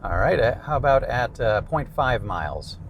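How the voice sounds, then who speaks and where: Guy doing a casual gear test vlog in his car, normal pitch, bit of road noise. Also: very animated, moderate pace. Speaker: gadget reviewer presenting a range test. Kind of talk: casual narrated product test. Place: inside a car.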